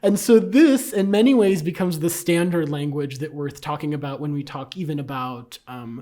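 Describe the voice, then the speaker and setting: A man's voice: fairly high pitch, fast, warm tone. A man whose first language is Bulgarian, explaining ideas fluently in English as a guest in a casual conversation. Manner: fluent, explanatory, with light laughs. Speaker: guest scholar.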